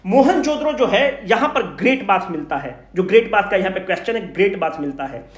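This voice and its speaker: A man's voice: high-pitched, expressive, brisk. A man teaches an exam class in an echoey room. He speaks to students directly and informally, posing questions and then answering them himself. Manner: switches between Hindi and English. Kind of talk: energetic lecture to exam students.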